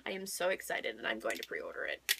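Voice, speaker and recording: A woman's voice: very deep voice, wildly swooping pitch, brisk, gravelly, very noisy. A young woman chatting casually as a book vlogger, gushing and emphatic, often repeating words for emphasis. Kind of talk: casual chat to camera.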